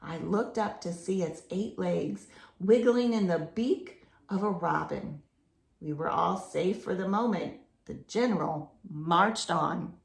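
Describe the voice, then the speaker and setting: Female children's storyteller, unhurried and slightly gravelly, expressive read-aloud with simple explanations, recorded in a roomy, echoey space. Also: lowish voice. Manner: explains to young listeners with simple questions and expressive emphasis.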